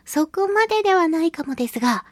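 A woman's voice: very high-pitched, animated, fast, no room sound, clean studio sound. A woman hosting a casual solo radio show, chatting expressively and giggly as she reads and responds to listener mail. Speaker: radio show host, voice actress.

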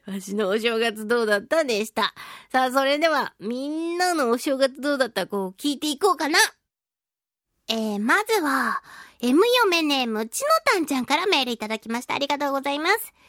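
鼻声ぎみで高い声の女性ラジオパーソナリティ. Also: female voice, sits high, normal speed, no room sound, studio quality. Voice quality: slightly nasal from a cold. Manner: animated, playful, self-deprecating. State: mild head cold. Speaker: radio show host and voice actress. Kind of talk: chatty solo radio talk with listener mail.

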